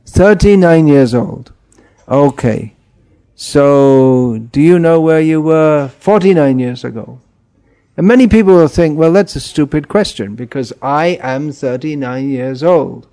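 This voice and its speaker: A middle-aged man giving an informal spiritual talk in a gentle way, chuckling and putting questions to his listeners. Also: fairly high pitch, animated, unhurried, smooth. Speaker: spiritual teacher.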